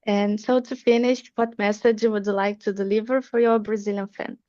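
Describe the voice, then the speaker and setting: Woman interviewer, mid pitch, measured questions, dull dry lo-fi sound. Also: flat delivery, mellow, dry recording.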